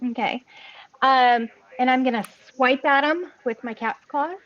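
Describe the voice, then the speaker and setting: A woman's voice: high-pitched, measured pace, highs cut off. A woman playing in an online tabletop game, counting her moves aloud in a hesitant, casual way. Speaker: player.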